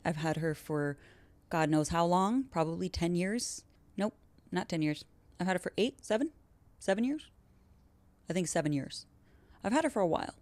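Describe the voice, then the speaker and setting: Young female podcast host, talkative and upbeat, slightly low smooth voice. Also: dry recording. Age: 20s. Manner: chatty, upbeat, self-described yapper. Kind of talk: casual solo podcast intro.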